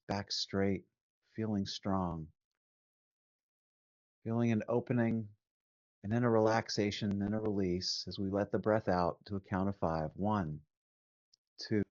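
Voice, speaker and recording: Slow, low-voiced man guiding meditation. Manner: calm, measured, gently instructing with long pauses. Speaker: meditation teacher. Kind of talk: guided meditation instruction. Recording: phone-like narrow band, roomy echo.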